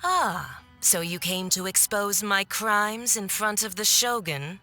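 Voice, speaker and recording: Haughty, slow-drawling villainess. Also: female voice, mid-range voice, expressive, bright, forceful tone, soft background music. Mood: mocking. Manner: condescending, theatrical, drawling. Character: haughty, mocking female villain, diplomat of a foreign power. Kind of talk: video game cutscene voice acting.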